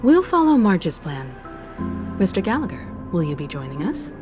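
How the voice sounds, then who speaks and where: A woman voicing a poised, gracious mature leader in a game who speaks in a calm, courteous way. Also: lowish voice, normal speed, music in the background. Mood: composed.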